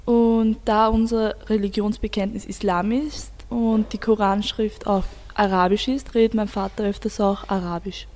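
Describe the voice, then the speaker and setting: A teenage student, steadily reading a prepared statement on school radio. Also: female voice, medium pitch, level tone, moderate pace, hardly any pauses, a little mellow.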